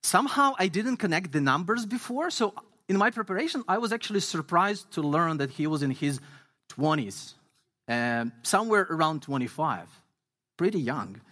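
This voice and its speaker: A man from Ukraine, an English speaker, preaching a sermon earnestly and reading scripture slowly with emphasis. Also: on the high side, sing-song, sharp tone. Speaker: guest pastor.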